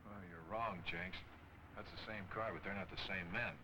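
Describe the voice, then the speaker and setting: An actor playing a brisk, resolute boss chasing crooks in a 1940s film serial, decisive in manner. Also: male voice, low voice, steady tone, close to an everyday voice. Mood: assured. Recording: very noisy 1940s film soundtrack.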